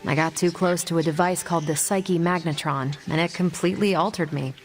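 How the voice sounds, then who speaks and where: Lowish-voiced, self-assured American superhero woman played by an actress. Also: fairly even intonation, brisk, soft background music, close to an everyday voice. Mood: frank, reflective. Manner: wry, casual, self-assured. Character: confident, easygoing superhero woman, ex-Air Force pilot. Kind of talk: video game dialogue acting.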